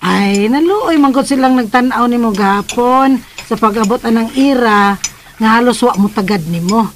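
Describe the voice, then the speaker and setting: An actress playing a gossipy, sharp-tongued middle-aged mother in a radio drama, scolding and sardonic, with a Filipino accent. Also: fairly high pitch, moderate pace, slight background noise, natural voice.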